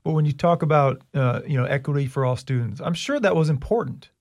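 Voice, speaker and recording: A man's voice: fairly high pitch, fairly quick, darkish tone, no echo, clean studio sound. A man in his forties co-hosting a podcast, chatty and joking. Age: 40s. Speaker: podcast co-host. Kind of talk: casual chat.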